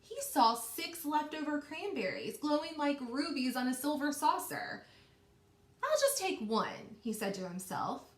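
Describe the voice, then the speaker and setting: A woman's voice: high-pitched, lively intonation, normal speed, hardly any pauses. A woman reading a storybook aloud to children like a teacher, expressively stretching key words and asking questions. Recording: roomy, echoing indoor sound.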